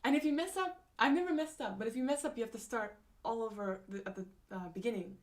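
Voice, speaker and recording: A young woman in casual conversation, talking at her desk mic while streaming from home. Her voice sits a little high, and she runs on with fillers, restarts and quick laughs as she answers viewers' comments. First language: not Japanese. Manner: chatty, many fillers and restarts, frequent laughs. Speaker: live-stream host. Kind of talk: casual live-stream chat reading comments.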